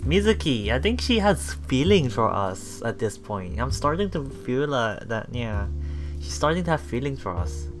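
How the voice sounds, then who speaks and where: A man reading a visual novel aloud with casual commentary, halting and often repeating himself, with music behind him. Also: medium pitch, sing-song. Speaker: let's player.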